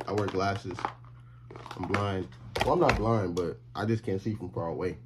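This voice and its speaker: A man's voice: sits low, normal speed, noisy background. An eighteen-year-old man from Texas, chatting casually and rambling to his viewers in a college vlog, talking into a phone camera in a small bedroom. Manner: rambling and slangy, lots of fillers, talks to chat. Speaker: college student vlogger and streamer. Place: small bedroom and bathroom indoors. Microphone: phone camera held at arm's length or propped.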